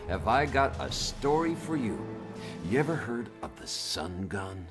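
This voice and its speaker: An actor playing a middle-aged veteran army officer and treacherous villain in a video game, speaking in a gruff, familiar, taunting manner. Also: male voice, mid-range voice, big pitch swings, measured pace, over music. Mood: brisk, familiar. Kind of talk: dramatic video game acting.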